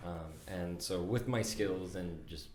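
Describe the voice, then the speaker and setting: Deep-voiced man, earnest and reflective. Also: slow, a little mellow, reverberant, slight background noise. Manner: earnest, reflective, with frequent ums and restarts. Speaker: company CEO guest. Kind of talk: casual podcast conversation.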